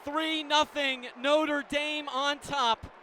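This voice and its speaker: A very high-pitched American man calls a game live on radio. His tone is bright and forceful, his phrasing clipped and descriptive. Faint sports venue ambience sits behind the voice. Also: steady tone, very slow, a little hiss or hum. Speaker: play-by-play radio commentator. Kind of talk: live lacrosse radio commentary.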